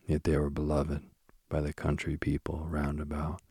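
A man hosting a sleep podcast and reading a bedtime story softly, slowly and soothingly, while he is losing his voice. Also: very deep voice, monotone, husky, no echo, studio quality. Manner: slow, gentle and soothing. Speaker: sleep podcast host and reader. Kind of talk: soft bedtime story narration. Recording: faint music behind.

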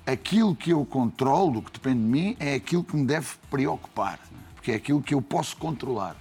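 A man with a European Portuguese accent, a football coach reflecting deliberately on his work in an interview. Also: mid-range voice, animated, darkish tone, breathy edge, soft background music. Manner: measured, deliberate explaining.

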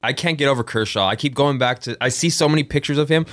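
A man's voice: medium pitch, a bit bright, dry recording, clean studio sound. A young man co-hosting a casual sports chat, ranting about rival teams. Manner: quick, ranting, laughs along. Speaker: podcast co-host.

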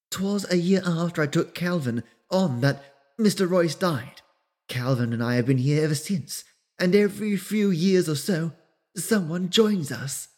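A man reading aloud and voicing an old woman innkeeper who speaks softly and secretively. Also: a little high, close to an everyday voice. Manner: soft, hushed.

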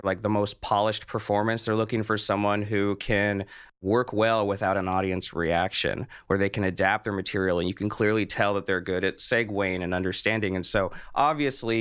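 A man's voice: on the low side, a bit bright, clear, resonant tone. A man hosting a casual interview, talking in a discursive way and rephrasing his questions as he goes. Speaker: podcast host, interviewer.

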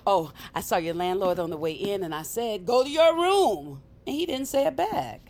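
An actress playing a brisk, wry woman who guides a magical novice, speaking casually in clipped phrases in an audio drama. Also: mid-range voice, big pitch swings, darkish tone. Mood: teasing. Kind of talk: naturalistic audio fiction acting.

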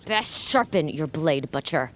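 Menacing young huntress rival, animated voice. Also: female voice, sits low, a little slow. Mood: menacing, mocking. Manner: taunting, archaic phrasing. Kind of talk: cinematic fantasy audio drama acting.

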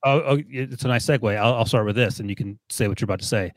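A man's voice: lowish voice, rapid-fire, no echo. Man breaking down football on a podcast. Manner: chatty analysis, teasing co-hosts. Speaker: podcast co-host. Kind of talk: casual sports podcast chat.

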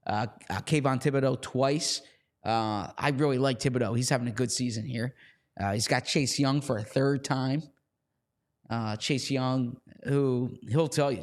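Hoarse man chatting about football. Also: mid-range voice, normal speed. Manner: chatty, repeats words when starting sentences. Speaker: podcast co-host. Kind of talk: casual sports chat.

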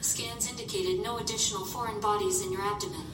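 A woman's voice: fairly even intonation, quick pace, close to an everyday voice. A woman voicing an impassive medical computer aboard a spaceship in an audio drama, formal and clinical. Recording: distant, echoey, noisy with rumble.

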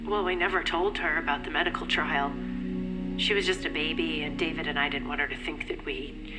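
A woman's voice: sits low, fairly even intonation, fairly quick, loud music behind the voice, natural voice, rough recording. An actress playing an anxious, grateful mother in an audio drama, speaking hesitantly and earnestly.

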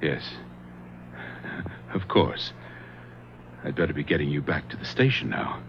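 An actor playing a sinister male police lieutenant who is secretly a knife killer, with a silky, mocking, slow delivery, in a 1970s radio drama. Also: a bass voice, animated, slight background noise. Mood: curt. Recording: dull lo-fi radio broadcast.

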